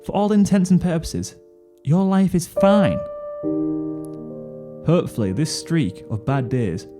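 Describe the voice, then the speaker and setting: A man reading a second-person story as a narrator, expressive, with a weary, brooding delivery. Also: a little high, unhurried. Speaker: fan fiction narrator. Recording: piano music under the voice. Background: piano music.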